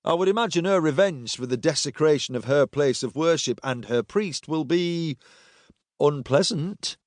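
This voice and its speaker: A man voicing a weary, steely male Roman agent in an audiobook, speaking in a measured, dry, firm way. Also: fairly high pitch, animated, no echo, voice pushed up, close to an everyday voice. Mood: cool, wry.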